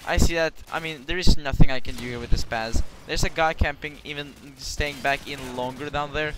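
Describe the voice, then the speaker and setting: A man's voice: a little high, fairly even intonation, moderate pace. A young man with a Norwegian accent giving casual, rambling commentary over his gameplay, swearing now and then. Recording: clear background hiss.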